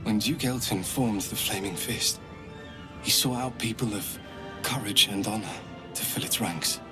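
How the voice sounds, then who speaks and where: A man acts out a worn-out old soldier from a fantasy game, grave and sincere. His voice is low and very slow, weighted with tired gratitude. Music plays clearly behind him. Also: close to an everyday voice. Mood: solemn, admiring. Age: older. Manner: solemn, measured, earnest. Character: weary, grateful old soldier, a frail relic. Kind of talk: video game dialogue acting.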